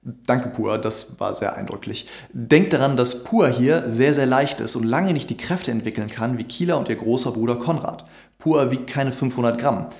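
A man's voice: mid-range voice, normal speed, vocal fry, a little mellow. A man in his thirties, a veterinarian, giving an earnest, emphatic scripted explainer to camera in a dim home studio. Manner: earnest, emphatic, gestures with hands. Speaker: veterinarian YouTuber. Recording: roomy echo.

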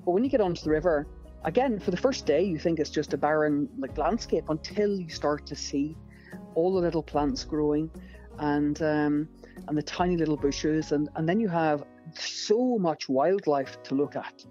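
A woman with a Northern Irish accent speaks as a fishing guide on a podcast. Her voice is dark and mellow, and her telling runs on with fillers and restarts. The sound is a little dull and close, with faint music underneath. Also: deep voice, normal speed. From Northern Ireland. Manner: rambling, enthusiastic storytelling with many fillers. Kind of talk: podcast interview guest.

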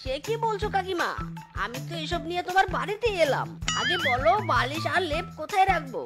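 A sly widower fox from a cartoon , acted out by a voice performer. The voice is high and whiny, melodramatic and shamelessly wheedling, over clearly audible background music. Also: sing-song, fairly quick. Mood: shameless, insistent. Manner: wheedling, melodramatic, fond of exclamations. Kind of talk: cartoon voice acting.

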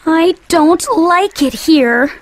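Very high, plaintive tiny girl. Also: exaggerated voice. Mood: sad, homesick. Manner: plaintive, drawn-out delivery. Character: tiny, sweet, sad young girl. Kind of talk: children's storybook character acting.

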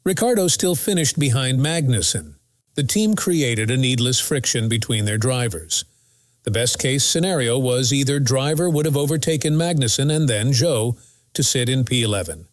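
A man narrates a scripted motorsport recap. His pitch is mid-range and the sound is dry and close, with no room echo. He presents it as a news channel's narrator. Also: normal speed.

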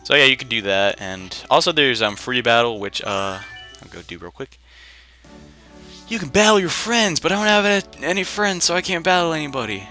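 Bright, forceful young man gaming. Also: medium pitch, animated, normal speed, faint music behind. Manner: rambling, slangy, jokey asides. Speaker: gaming video host. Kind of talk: casual let's play commentary.

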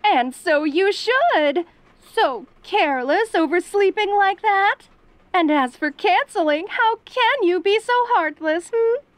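Very high-pitched, sugary yandere mom. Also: female voice, animated, slow pace, dry recording, heavily acted. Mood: mock scolding. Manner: sugary, theatrical, genteel endearments. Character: perky, doting, middle-aged yandere mother, teasingly clingy. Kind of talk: audio roleplay acting.

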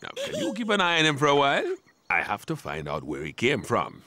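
A man's voice: a little high, wildly swooping pitch, fairly quick, sharp tone, exaggerated voice. A man voicing a kindly, jolly, middle-aged gardener in a children's cartoon, folksy and reassuring.

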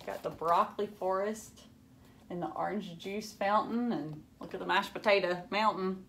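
A woman in her thirties giving an animated, dramatic picture-book read-aloud with teacherly asides in a room at home. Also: mid-range voice, moderate pace, slight background noise.

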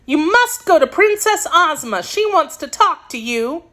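A woman voicing an anxious, earnest young girl in a children's storybook, excitable and insistent. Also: extremely high pitch, measured pace, voice pushed up, heavily acted.

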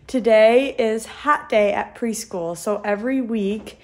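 A woman's voice: fairly high pitch, measured pace, a little mellow. A young American woman chatting casually as a vlogging mother, recorded on a phone at arm's length at home. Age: young adult. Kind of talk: casual vlog chat. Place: indoors at home, living room and kitchen. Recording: clear household background noise.

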